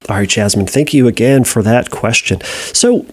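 A man's voice: medium pitch, normal speed, breathy edge. A man working as a therapist, answering listener questions on a podcast in a hesitant way, with many fillers and restarts. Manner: reads listener letters aloud.

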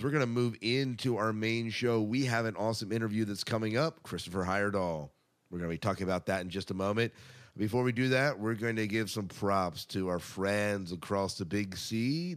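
A man hosting a podcast, chatting casually and making announcements in an enthusiastic manner. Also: lowish voice. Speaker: podcast host.

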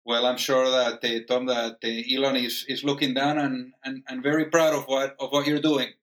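A male podcast host at a middle pitch asks follow-up questions in a conversational way. He restarts his phrases, doubling words before getting to the point, and answers with short acknowledgements. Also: little pitch movement, moderate pace, fairly bright tone. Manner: repeats words when asking, affirming "I hear you", "got it". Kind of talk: interview questions.

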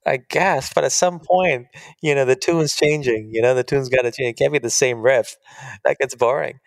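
A man's voice: medium pitch, moderate pace, creaky, no room sound. A man, an author as guest, talking earnestly and animatedly in a casual interview.

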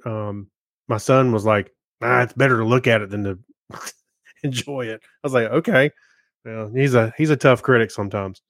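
A man with an average-pitched voice chats as a podcast co-host. He reviews things casually, pausing and searching for words as he goes. The recording is dry, without room echo. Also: fairly bright tone. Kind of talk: casual film review chat.

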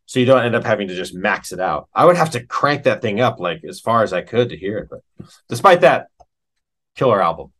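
A man's voice: lowish voice, sing-song, fairly quick, a bit bright. A man talking casually as an interview guest, in an enthusiastic, slangy, rambling way about his own craft.

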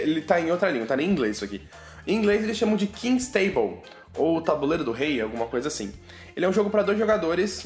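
A man with a Brazilian Portuguese accent hosting a casual science news podcast, chatty and joking with listeners. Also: high-pitched. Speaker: podcast host. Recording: roomy echo with faint music.

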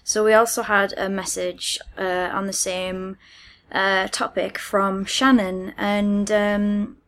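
A British woman co-hosting a podcast, reading messages aloud and chatting in a halting, hesitant way. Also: medium pitch, level tone, fairly bright tone. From United Kingdom. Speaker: podcast co-host.

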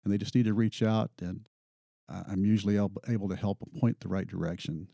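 A man's voice: fairly low pitch, fairly even intonation, brisk, creaky, warm tone, no room sound, studio quality. A man in his fifties, a pilot union representative, answering interview questions earnestly and often repeating words as he thinks.

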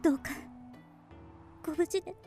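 A woman's voice: moderate pace, mellow, faint music behind, close to an everyday voice. A woman playing an anxious, devoted young maiden in a period radio drama, pleading earnestly.

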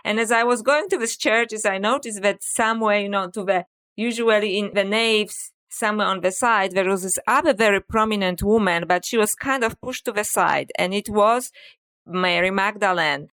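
A woman's voice: mid-range voice, normal speed, a bit bright, clear, resonant tone. A middle-aged woman with a Polish accent, a guest author speaking reflectively in a podcast interview. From Poland. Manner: reflective, storytelling with frequent "you know".

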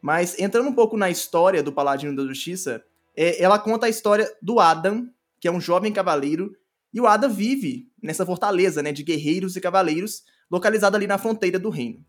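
A Brazilian man speaking as a podcast guest, explaining casually in a halting way, repeating words and often checking for agreement. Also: sits high, soft background music. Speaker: podcast guest, audio drama creator.

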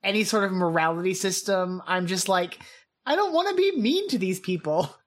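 A woman's voice: mid-range voice, animated. A young woman co-hosting a podcast, chatting eagerly, often restarting her sentences as she talks. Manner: chatty and enthusiastic, quick restarts. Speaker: podcast co-host. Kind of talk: casual chat.

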